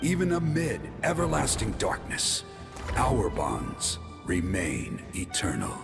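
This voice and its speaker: A man's voice: lowish voice, huge pitch swings, slow, gravelly, heavily acted. A man voicing a solemn, noble ancient giant warrior in a video game, with stately, encouraging oratory. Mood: rousing. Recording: long reverb, music behind.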